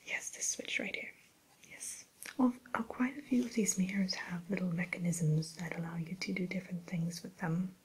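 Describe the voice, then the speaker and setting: A woman's voice: lowish voice, low-quality recording. A woman playing a refined, sly evil queen shopkeeper in a whispered ASMR roleplay, with coy, hesitant, genteel sales talk. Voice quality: breathy, soft whisper. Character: refined, sly evil queen running an enchanted mirror shop.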